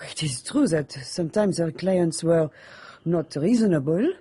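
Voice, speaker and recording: A guarded maid, slightly husky. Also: female voice, low-pitched, animated, unhurried, warm tone, heavily acted. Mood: defensive. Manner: formal, guarded, curt denials. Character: guarded, defensive French maid loyal to her dead mistress. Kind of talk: radio drama acting.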